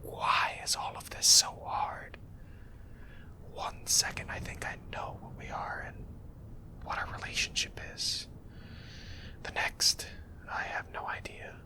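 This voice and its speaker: A man's voice: takes it slowly, long pauses, low-quality recording. A man whispering a tender, hesitant confession as a boyfriend in an ASMR roleplay.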